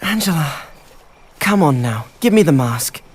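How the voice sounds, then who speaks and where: An actor playing an eager, headstrong teenage boy adventurer in an animated game cutscene, earnest, excitable and persuasive. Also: a little high, a little slow, husky. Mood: pleading. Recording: music behind the voice.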